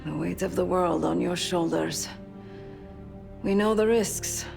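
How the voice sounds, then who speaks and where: A woman's voice: on the low side, a little slow, gravelly, music in the background. An actress playing a cool, candid female covert operative with a dry manner in a video game cutscene.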